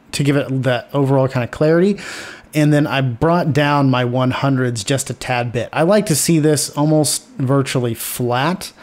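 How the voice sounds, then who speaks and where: A man in his thirties giving a casual, loosely explanatory software tutorial. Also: medium pitch. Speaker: video editing tutorial host.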